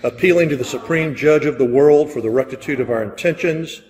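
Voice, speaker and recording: A man's voice: mid-range voice, brisk, a little mellow. A man reading a historical document aloud in a solemn, formal style. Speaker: volunteer reader. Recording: long reverberant hall sound.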